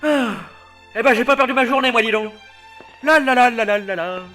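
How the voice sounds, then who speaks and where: Effeminate boy hero, very high voice, fussy, melodramatic, anime parody, slightly distant with faint music. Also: normal speed. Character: whiny, effeminate young male hero knight, fussy and melodramatic. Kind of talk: comic anime parody dub.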